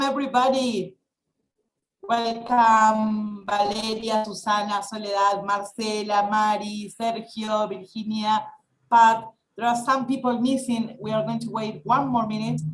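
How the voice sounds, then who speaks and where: A woman who is a native Spanish speaker, hosting a webinar with a careful, somewhat halting delivery. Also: fairly high pitch, fairly even intonation, a little hiss or hum. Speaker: webinar host. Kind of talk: welcoming and introducing a speaker, partly read. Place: indoors on a video call. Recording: echoey video-call audio.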